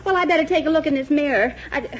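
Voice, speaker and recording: A woman's voice: high voice, quick pace, natural voice. An actress playing a fussy, easily flustered middle-aged American mother in a 1940s radio comedy. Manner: fussy, exclamatory. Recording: muffled vintage radio recording with hiss.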